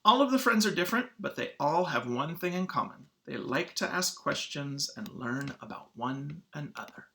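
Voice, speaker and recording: Bright-voiced male teacher, halting delivery. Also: fairly high pitch, expressive, slight background noise. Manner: halting, with frequent throat clearing. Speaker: schoolteacher. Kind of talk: informal read-aloud lesson for schoolchildren.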